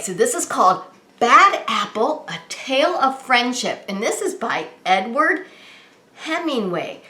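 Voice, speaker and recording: A woman's voice: a little high, pushed and bright. A woman hosting story time as a preschool teacher, talking simply and expressively to small children with frequent questions. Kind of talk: children's read-aloud and singalong. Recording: roomy, echoey room sound.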